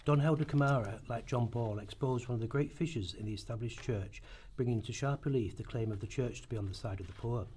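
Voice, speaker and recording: A man's voice: mid-range voice, a little fast, darkish tone, a little hiss or hum. A man narrating a scripted historical radio play in a formal, earnest manner.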